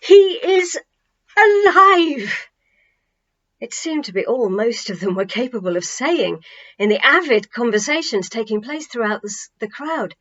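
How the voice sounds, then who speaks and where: A woman reading a children's novel aloud as an expressive storybook narration, with dramatic, emphatic phrasing and deliberate pauses. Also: fairly high pitch, highs cut off.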